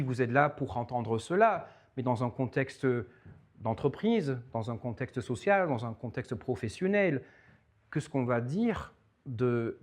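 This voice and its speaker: Hesitant man lecturing. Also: medium pitch. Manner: hesitant, many repeated words and fillers. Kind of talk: public lecture with audience questions.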